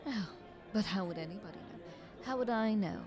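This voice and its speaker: A woman playing a scheming, flattering queen in an audio roleplay, speaking in a haughty, ornate courtly manner. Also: mid-range voice, a little fast, crowd noise, lightly acted. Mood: insinuating, condescending. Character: visiting queen, scheming, flattering, condescending royal negotiator.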